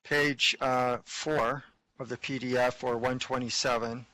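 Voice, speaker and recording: A man organizing a script read-through, explaining plans in a halting way as he thinks aloud. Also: medium pitch, steady tone, sharp tone, low-quality recording. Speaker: organizer of a script reading group. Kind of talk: casual group chat.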